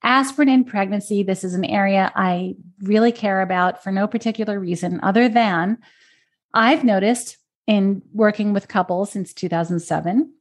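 A woman's voice: medium pitch, moderate pace. A woman hosting a podcast, explaining research and repeating key points for emphasis. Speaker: podcast host.

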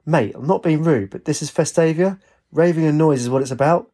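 Man voicing slangy, husky laddish raver. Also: on the high side, brisk, mellow, pitched above the performer's own voice. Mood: exasperated. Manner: slangy, exclaiming. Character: upbeat laddish raver with slangy banter, easily startled.

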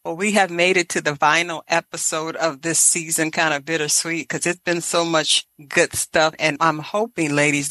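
A woman's voice: low voice, bright, forceful tone, no room sound, low-quality recording. A woman hosting a podcast in a conversational style. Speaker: podcast host.

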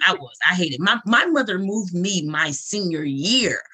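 A woman's voice: on the low side, animated, normal speed, sharp tone, a little hiss or hum. A woman in her late forties from the United States, hosting a live podcast interview, effusive and chatty with frequent laughs. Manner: effusive, laughs often, rambling restarts. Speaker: host.

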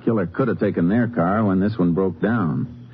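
An actor as a deep, laconic Texas Ranger. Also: male voice, moderate pace, slight background noise, natural voice. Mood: businesslike. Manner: terse, methodical, matter-of-fact. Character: calm, laconic male Texas Ranger investigator. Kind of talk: 1950s radio drama acting and case narration. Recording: dull old radio recording.

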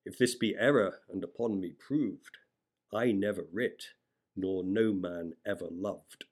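A man reciting classic poetry as a reader, in a measured, expressive way. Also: sits low. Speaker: sonnet reader.

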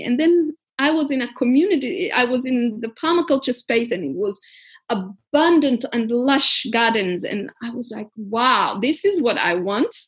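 A woman's voice: on the high side, husky. A woman from Africa, founder of a community garden, telling her story earnestly in an interview, with many fillers and restarts.

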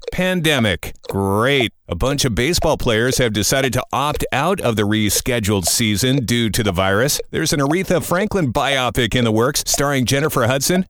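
A man's voice: medium pitch, a bit bright, no room sound. Man hosting a wry radio-style show. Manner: wry, joking, conversational radio delivery. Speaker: podcast host. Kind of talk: morning-radio show banter and quips. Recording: dry studio with music bed.

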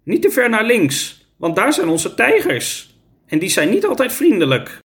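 A man acting out a storybook creature who teaches small children. The voice sits high, well above an everyday male register, and talks in an instructive, matter-of-fact way. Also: wildly swooping pitch, moderate pace, fairly bright tone, heavily acted. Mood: patient, instructive.

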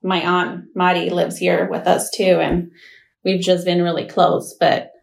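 A woman from Mexico who works in insurance, answering interview questions in an unhurried, plain way. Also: lowish voice, flat delivery, gravelly. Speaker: insurance staff member.

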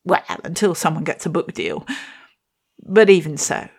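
A woman's voice: fairly low pitch, animated, normal speed, vocal fry, breathy edge. A woman, telling a reflective personal story and reading her poem with wry, self-deprecating humour. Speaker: podcast host and poet.

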